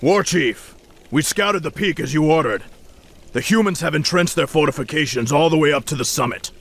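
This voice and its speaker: An actor playing a dutiful orc scout in a video game, reporting briskly. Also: male voice, a little high, fairly bright tone. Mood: businesslike.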